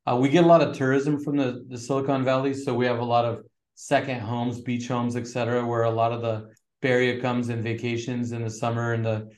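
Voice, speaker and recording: Flat-voiced man in an echoey room. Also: mid-range voice. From Northern California. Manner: relaxed, fillers, flat steady delivery. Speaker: real estate agent guest. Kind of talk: casual interview talk.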